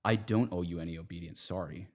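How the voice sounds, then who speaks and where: This is a man with a low voice, speaking as a priest in an earnest religious conversation. He argues with emphasis and often sets up his points as rhetorical questions. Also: quick pace, darkish tone. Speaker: priest, podcast guest.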